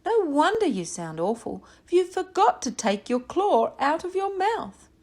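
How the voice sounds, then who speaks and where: A woman's voice: high-pitched, sing-song, unhurried, voice pushed up. A woman voicing a tiny, kind but firm worm in a children's story. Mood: kindly firm. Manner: small, gentle, firm.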